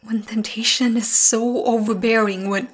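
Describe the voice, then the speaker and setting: A woman playing a young, tormented, lovesick vampire in an ASMR roleplay, breathy and often whispering, halting and intense. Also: fairly high pitch, fairly even intonation. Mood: anguished, intense. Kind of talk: ASMR roleplay, dramatic monologue.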